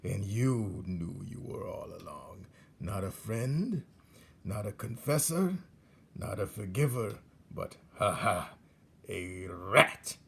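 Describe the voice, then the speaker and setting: A man's voice: lowish voice, expressive, slow pace, gravelly, breathy edge, exaggerated voice. A man voicing a very old, cruel male dungeon rat in a storybook, lecturing with relish and heavy stresses. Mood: cruel delight. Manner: lecturing, relishing each word with emphatic stresses.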